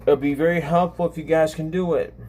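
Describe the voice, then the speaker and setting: Halting young man chatting on a livestream. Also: on the high side, steady tone, a little mellow, slight background noise. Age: 20s. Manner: halting, repetitive, often restarting phrases. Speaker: live streamer, channel host. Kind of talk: casual livestream chat with viewers.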